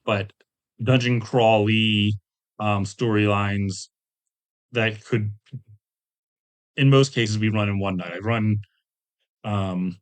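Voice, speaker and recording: A middle-aged man chatting casually as an interview guest, talking in a rambling, halting way and often correcting himself. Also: on the low side, steady tone. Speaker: podcast guest, tabletop game designer.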